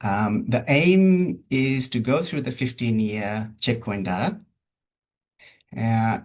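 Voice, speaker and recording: A man's voice: mid-range voice, slow pace. A man with a New Zealand accent presenting a research data workshop over a webinar, hesitating often with ums and uhs.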